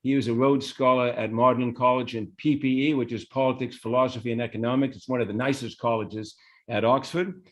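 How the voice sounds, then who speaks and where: A man moderating a live conversation, introducing a guest and asking questions informally, with a slightly halting, conversational delivery. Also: mid-range voice, fairly even intonation. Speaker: moderator, interviewer.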